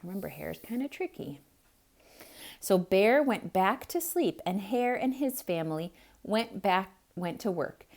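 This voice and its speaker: A woman reading a picture book aloud to children, pausing to point things out and ask them questions. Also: mid-range voice. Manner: slow, expressive, asks children questions. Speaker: children's storyteller.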